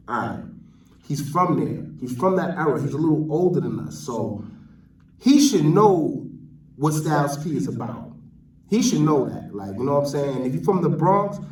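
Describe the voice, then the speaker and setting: New york guy doing casual slangy street commentary, far from the mic in an echoey spot. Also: fairly high pitch. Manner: slangy, repeats "you know what I'm saying". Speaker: vlogger host. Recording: roomy, distant mic, noisy background.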